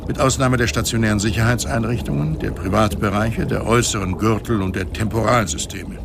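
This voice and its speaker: An actor playing a dry, teasing space-station guide in a German science fiction radio drama, deadpan and bossy. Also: male voice, fairly low pitch, brisk, gravelly, background noise. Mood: matter-of-fact.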